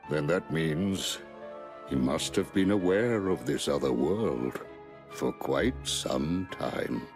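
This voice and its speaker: Old game sorcerer, extremely deep, slow, gravelly, stern, formal. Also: male voice, lively intonation, over music, heavily acted. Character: stern, wise old male sorcerer master.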